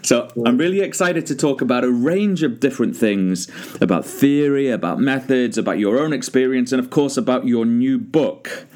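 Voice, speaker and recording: A man hosting an interview, asking polite, unhurried questions of his guest. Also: medium pitch, darkish tone. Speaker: podcast host, interviewer. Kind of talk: conversational interview.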